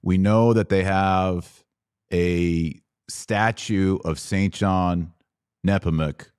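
A man with a low, deep voice asks questions and thinks out loud as a podcast host. He, and the sound is dry and close. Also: steady tone, drawn-out words, clean tone, no room sound. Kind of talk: casual podcast conversation.

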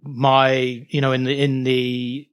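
A middle-aged man with an English accent, answering casually as an interview guest, often halting to find his words. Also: medium pitch, completely flat delivery, clear, resonant tone. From England.

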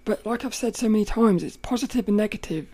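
A woman with a rough, cracking voice, hosting a podcast and thinking aloud in a rambling way, often laughing. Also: medium pitch, fast, warm tone, no room sound. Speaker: podcast host. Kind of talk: casual reflective monologue.

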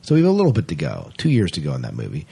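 A man with a very low, rather husky voice co-hosts a podcast. He moves between reading news items and casual chat, offering his opinions with open enthusiasm. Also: wildly swooping pitch, racing, warm tone.

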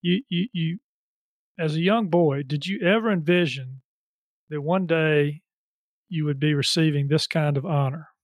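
A man's voice: fairly high pitch, a little slow, no room sound. A man hosting a podcast, who talks loosely in a chatty way with many fillers. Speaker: podcast host. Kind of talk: podcast interview.

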